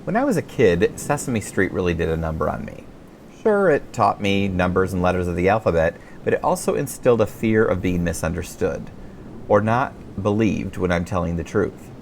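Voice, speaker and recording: Animated middle-aged American man reading his memoir. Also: low-pitched, moderate pace, a little hiss or hum. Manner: wry, expressive storytelling. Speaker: author reading own memoir. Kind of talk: audiobook narration of a humorous memoir.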